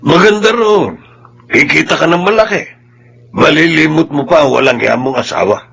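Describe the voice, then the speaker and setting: Stern grandfather, gravelly husky voice, gruff, bossy scolding, radio drama. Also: a little high, normal speed, a bit bright, heavily acted. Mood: pushy, persuading. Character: stern, pushy older father and grandfather, scornful of his son-in-law. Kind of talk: Filipino radio drama acting.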